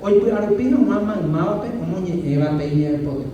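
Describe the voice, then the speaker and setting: A man with a fairly high, warm voice presents his thesis in a halting, earnest way. He is picked up from a distance in a very echoey room, with a faint hiss underneath. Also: expressive, muffled recording. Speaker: student defending his thesis. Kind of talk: formal academic presentation. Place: university lecture room.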